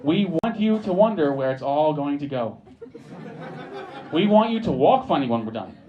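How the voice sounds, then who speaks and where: A man's voice: a little high, very animated, normal speed, muffled recording. A young American man performing a stand-up set in a pub, dry and wry, with mock-sincere asides. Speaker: stand-up comedian. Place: pub comedy stage with a live audience. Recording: live pub recording, crowd laughing. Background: audience laughter.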